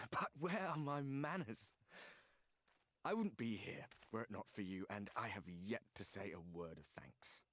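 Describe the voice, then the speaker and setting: An actor playing a courteous, composed warrior in a fantasy game, with a formal, wry manner. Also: male voice, fairly low pitch, expressive, a little slow, a bit bright. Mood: wry, polite. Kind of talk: dramatic fantasy video game acting.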